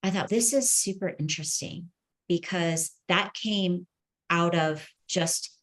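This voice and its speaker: A middle-aged woman with a slightly low voice talks as an interview guest. She is earnest and thoughtful, reflecting on her own experience and ideas in a conversational way. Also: unhurried. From grew up in the Middle East. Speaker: podcast guest, entrepreneur and coach. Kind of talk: reflective personal storytelling in an interview.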